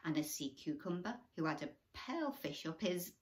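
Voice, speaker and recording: A woman's voice: lowish voice, big pitch swings, moderate pace. Woman as an eager little girl fish. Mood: happy, grateful. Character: small young female fish, eager, grateful adventurer.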